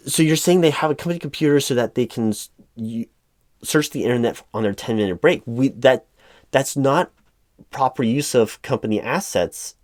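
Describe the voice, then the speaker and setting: A man playing a frustrated, flustered corporate recruiting manager in a one-sided roleplay, speaking in a halting, stammering, officious way. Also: medium pitch, a little mellow, dry recording, clean studio sound, lightly acted. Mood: exasperated. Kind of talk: one-sided ASMR roleplay, loud spoken.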